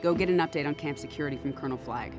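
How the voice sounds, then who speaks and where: A man's voice: fairly high pitch, rapid-fire, over music, natural voice. A man playing a no-nonsense military commander in a video game, giving curt orders. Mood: brisk, stern.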